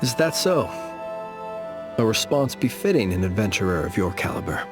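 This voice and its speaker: A solemn game character, a gentle keeper of the dead with a lowish voice. He speaks formally and eloquently, in measured phrases, over clearly audible background music. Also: sing-song. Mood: gentle, courteous. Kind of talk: scripted video game voice acting.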